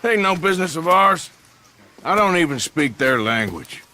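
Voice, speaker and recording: An actor playing a gruff, cynical outlaw in a Western video game, with an American Western drawl and a terse, dismissive manner. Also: male voice, a little high, big pitch swings, normal speed, sharp tone, slight background noise. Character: gruff, cynical adult male American outlaw in the Old West. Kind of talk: dramatic video game acting.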